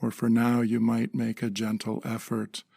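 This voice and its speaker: A man leading a guided meditation in a calm, gentle manner, in short measured phrases with pauses. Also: mid-range voice, gravelly, dry recording, clean studio sound.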